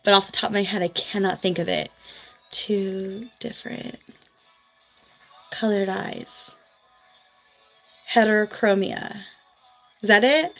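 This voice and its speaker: A chatty woman with a Philadelphia accent, talking frankly and casually to her viewers. She throws in quick asides, and soft music plays faintly behind her. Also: mid-range voice. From US East Coast, New Jersey. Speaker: vlogger, former nurse. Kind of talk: casual livestream chat reading viewer comments.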